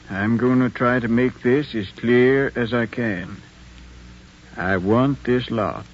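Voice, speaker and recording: A man playing a patronizing, bullying businessman landlord in a 1950s radio drama, speaking with an American accent in an insistent, deliberate way. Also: medium pitch, natural voice. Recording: muffled 1950s radio recording.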